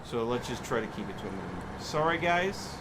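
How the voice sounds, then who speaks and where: A man hosting a gaming livestream, explaining things in a measured, diplomatic way. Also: medium pitch, very animated. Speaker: livestream host. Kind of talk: gaming livestream chat with co-players. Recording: clear background vehicle game noise.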